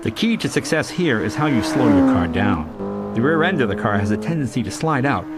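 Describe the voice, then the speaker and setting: A man reading scripted instructional voice-over for a racing game in a clear, measured manner. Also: mid-range voice, big pitch swings, over loud music. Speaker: game tutorial narrator. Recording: loud car engine noise over voice. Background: race car engines and driving sounds.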